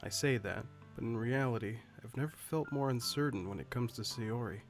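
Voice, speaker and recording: A man playing an earnest, worried high school student who narrates a visual novel in the first person. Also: fairly quick, faint music behind, natural voice. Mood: troubled, brooding.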